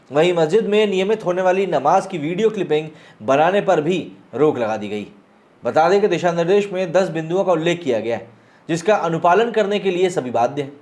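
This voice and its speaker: A man with an Indian accent and a fairly high voice delivers news commentary. His phrasing is formal and newsy, with colloquial asides mixed in. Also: darkish tone. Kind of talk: news explainer commentary, reading out online reactions.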